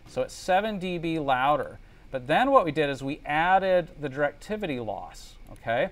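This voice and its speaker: A man's voice: a little high, a bit bright. A man giving a classroom lecture as a teacher, explaining step by step and often checking understanding with short tag questions. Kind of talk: classroom lecture with spreadsheet demonstration. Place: indoor classroom.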